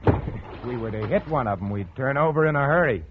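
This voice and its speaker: Man acting a suave, expressive, quietly menacing gentleman. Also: mid-range voice, fairly quick, close to an everyday voice. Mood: silky menace. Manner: urbane, drawling, mockingly solicitous. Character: suave, genial hunting host with veiled menace. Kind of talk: 1940s radio suspense acting. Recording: old radio, narrow band, faint noise.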